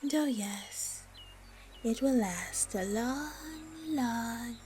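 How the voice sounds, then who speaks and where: A woman playing a haughty, suspicious, disdainful spider monster in a fantasy audio roleplay, with a breathy, often whispery voice. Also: on the high side, mellow, a little hiss or hum. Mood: intrigued. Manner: slow, disdainful, haggling.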